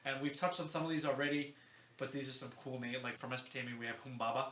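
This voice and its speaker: Bright-voiced man chatting in a roomy space. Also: mid-range voice, steady tone, fast. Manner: informal, joking, stumbles over foreign names. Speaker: podcast host. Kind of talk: casual explainer chat. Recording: roomy echo, faint background noise.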